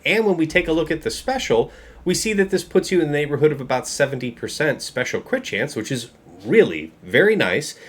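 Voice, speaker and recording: Stuffed-up man explaining game builds. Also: medium pitch. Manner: casual, explanatory, reads out game text. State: stuffed up from a head cold. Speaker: game guide creator. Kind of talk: mobile game strategy guide. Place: small room indoors.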